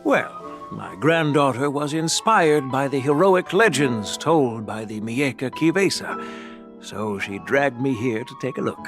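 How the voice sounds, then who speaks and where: A man's voice: mid-range voice, expressive, unhurried, music in the background. An actor playing an elderly general and doting grandfather in a video game, patient and easily amused. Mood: warm, easygoing. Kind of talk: scripted game voice acting.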